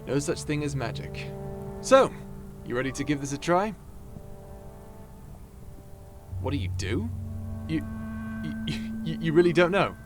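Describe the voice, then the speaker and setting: A man playing an excitable, cheeky adventurer in an ASMR roleplay drama, animated and theatrical with a stammering, jokey delivery. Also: on the high side, normal speed, pauses often, over music, close to an everyday voice. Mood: flustered.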